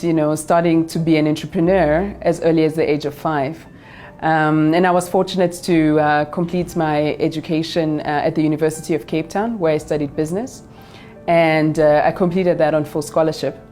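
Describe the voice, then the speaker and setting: Low, mellow-voiced woman speaking with confidence. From South Africa. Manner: confident, measured, motivational. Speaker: business speaker and advisor. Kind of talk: personal story to camera. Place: studio with pink backdrop.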